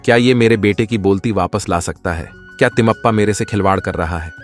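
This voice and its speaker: Man acting a low-voiced, troubled village father. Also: a little fast, soft background music, lightly acted. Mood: doubtful. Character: worried village farmer father, quick to suspicion and frustration. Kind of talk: animated moral story voice acting.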